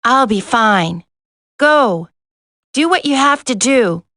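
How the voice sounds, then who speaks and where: A gentle but brave female teammate in a dramatic video game. Her slightly high voice moves slowly and haltingly, earnest throughout, in a dry recording. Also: lively intonation, dry recording, heavily acted. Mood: stoic, reassuring.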